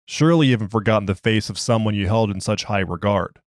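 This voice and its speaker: A man's voice: on the low side, quick pace, no echo, studio quality, lightly acted. A man voicing a skeptical research doctor who questions a prisoner in formal, clipped, dismissive speech. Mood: probing. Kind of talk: dramatized interview log.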